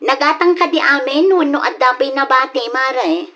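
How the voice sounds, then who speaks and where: A woman's voice: high voice, normal speed, sharp tone, highs cut off. A woman playing an earnest, worried adult daughter in an Ilocano radio drama.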